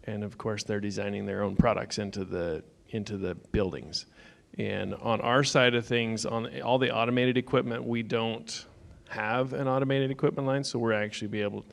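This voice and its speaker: Deep gravelly guy on a panel explaining security stuff, no nonsense. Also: fairly even intonation, normal speed. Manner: matter-of-fact, explanatory. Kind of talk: conference panel talk. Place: conference stage.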